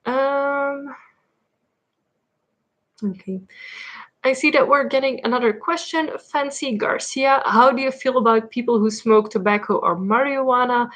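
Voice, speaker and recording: A woman's voice: high-pitched, unhurried, long pauses, a bit bright. A young woman from Belgium with a Flemish Dutch accent, chatting casually while answering viewer questions on her livestream, with many ums and likes. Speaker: livestream host. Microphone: laptop mic.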